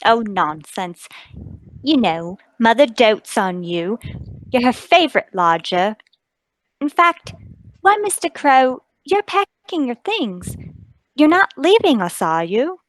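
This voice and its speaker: A woman acts out a fond, curious young woman in a radio drama. Her voice is fairly high and swoops expressively. She takes her time, sweetly fussing and coaxing. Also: sing-song, slow, no room sound, low-quality recording. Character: kindly, inquisitive young woman, a landlady's fond daughter. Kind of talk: radio-play table read.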